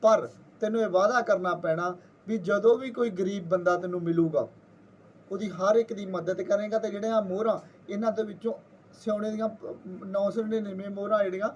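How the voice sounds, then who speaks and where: A man's voice: high-pitched, steady tone, brisk, darkish tone, highs cut off, slight background noise, close to an everyday voice. A man with a Punjabi accent voicing a sly, artful trickster who feigns innocence, in an informally told folktale. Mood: bargaining. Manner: colloquial, bargaining, artful.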